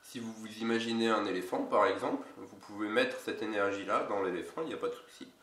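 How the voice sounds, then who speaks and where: Man, head cold, energy healer, halting delivery, distant mic, echoey room. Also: on the low side, fairly bright tone. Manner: slow, halting, with many pauses. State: has a cold. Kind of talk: casual instructional video. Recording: roomy echo, away from mic.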